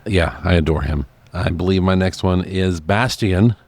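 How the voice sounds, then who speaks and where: Deep-voiced male co-host for loose, enthusiastic, profane movie chat, recorded dry. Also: normal speed, dry recording. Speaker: podcast co-host.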